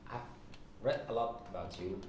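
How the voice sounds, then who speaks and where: Low-voiced Sudanese man in echoey room. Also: moderate pace, a little mellow. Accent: Sudanese Arabic. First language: Arabic. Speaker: podcast host. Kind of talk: informal interview. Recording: echoey room, distant mic, background noise.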